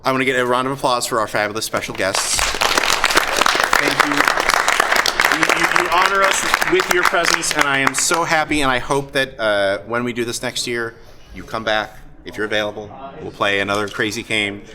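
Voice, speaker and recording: A man's voice: mid-range voice, normal speed. A man chatting casually as game master and host, wrapping up a live tabletop game. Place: busy gaming convention, live event. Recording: live convention hall with crowd noise.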